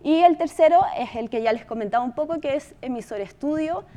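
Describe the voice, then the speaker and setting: High-voiced Chilean woman presenting. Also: brisk, mellow. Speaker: podcast company presenter. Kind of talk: informal conference presentation.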